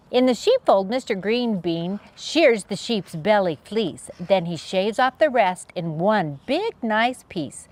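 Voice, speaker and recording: Female children's read-aloud host: unhurried, lively pitch swings, sing-song and playful. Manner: expressive sing-song rhyming delivery with playful sound effects. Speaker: children's story reader, channel host.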